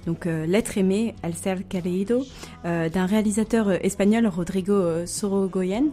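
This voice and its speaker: A woman's voice: mid-range voice, normal speed, mellow, faint music behind. A German-speaking woman co-hosting a radio segment, talking with many hesitations and fillers. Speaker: radio co-host. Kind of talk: casual radio segment intro.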